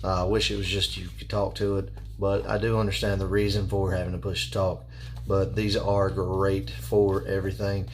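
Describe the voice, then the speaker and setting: A man giving a casual, unscripted product review in a halting, plainspoken and repetitive way. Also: low voice, completely flat delivery. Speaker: product reviewer and firearms instructor. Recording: clear background noise.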